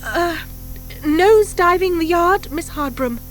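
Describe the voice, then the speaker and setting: A hapless young witch schoolgirl, put on by a woman narrator, high and lively in pitch. She sounds artless, earnest and eager to please, in a children's storybook style. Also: unhurried, soft background music. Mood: flustered. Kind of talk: audiobook character acting.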